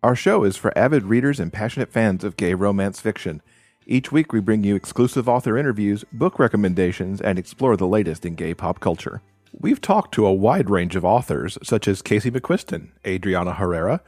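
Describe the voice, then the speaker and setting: A man's voice: on the low side, expressive, brisk, darkish tone, no room sound, soft background music. A man who hosts a podcast, reading a scripted promo for the show. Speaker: podcast host.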